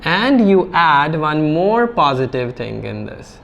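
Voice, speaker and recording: A man's voice: a little high, very animated, slow, creaky, fairly bright tone, dull audio, a little hiss or hum. A man with an Indian English accent teaching an IELTS grammar lesson at a whiteboard, explaining step by step and repeating key words.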